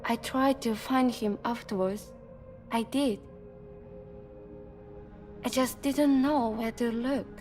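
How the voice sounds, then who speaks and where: An actress playing a young woman who longs to find her father, in a video game cutscene, speaking slowly and earnestly. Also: on the high side, fairly even intonation. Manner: slow, earnest, pleading. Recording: game audio under loud music.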